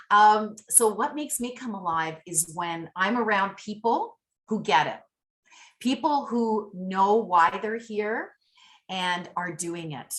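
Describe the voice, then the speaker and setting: A woman's voice: medium pitch, a bit bright. A woman raised from early childhood in Canada after being born in Italy, Italian her first language, talking as an upbeat, effusive podcast guest over a remote call. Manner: effusive, upbeat motivational talk. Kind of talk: casual interview chat.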